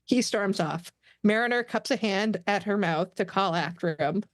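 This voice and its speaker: A woman's voice: medium pitch, moderate pace, dry recording. A woman narrating the stage directions of a script as scripted narration.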